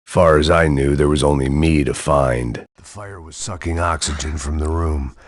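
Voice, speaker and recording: A man playing a jaded, middle-aged American action hero, narrating a noir video game in a gravelly, sardonic deadpan. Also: very deep voice, normal speed. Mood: strained, grim. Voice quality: gravelly, husky. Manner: world-weary, sardonic, deadpan. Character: jaded hard-drinking middle-aged male action hero, cynical and bitter.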